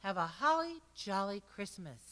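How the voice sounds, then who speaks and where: A woman's voice: medium pitch, very animated, moderate pace, a bit bright, long reverb tail. A woman presenting a holiday puppet show to introduce the next part, with piano music behind her.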